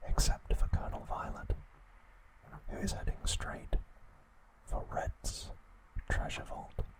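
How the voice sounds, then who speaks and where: A man narrating scripted ASMR in a breathy, unvoiced whisper, with hushed, deliberate phrasing and pauses. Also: poor audio. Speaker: ASMR host.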